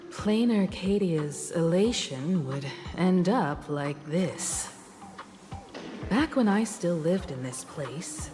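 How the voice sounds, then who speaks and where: Casting: thoughtful grown female traveller for a game. Measured, unhurried, mid-pitched, music underneath. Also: darkish tone. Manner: measured, reflective. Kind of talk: video game voice acting. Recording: music behind the voice.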